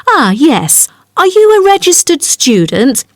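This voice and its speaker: A woman's voice: high voice, sing-song, measured pace, dry recording, studio quality. A woman playing a polite, helpful job centre agent in a scripted language-test dialogue, courteous and businesslike.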